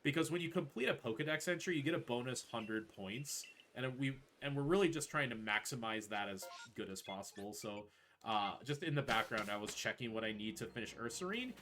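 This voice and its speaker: A man's voice: mid-range voice, expressive, a little fast, a bit bright, a little hiss or hum. A man playing through a game speedrun while casually explaining his route live, with music behind him.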